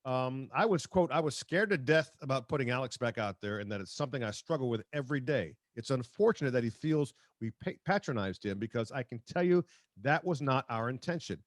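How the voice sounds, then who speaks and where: A man's voice: medium pitch, lively intonation, a little fast, no echo. Man, sports talk host, mid-range voice, conversational commentary, repeated words, close dry sound. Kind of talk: sports talk, commentary with quotes read aloud.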